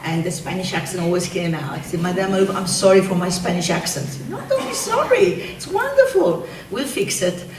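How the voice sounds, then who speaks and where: A woman's voice: fairly low pitch, big pitch swings, brisk, hardly any pauses. Female teacher presenting a school award, heartfelt; distant mic, echoey hall, noisy. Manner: warm, emotional praise of a student. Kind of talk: award speech at a school assembly.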